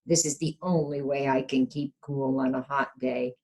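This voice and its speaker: A woman's voice: a bass voice, steady tone, moderate pace, dark tone, voice pushed down. A woman voicing a busy, dismissive duck from a storybook, fussy in manner.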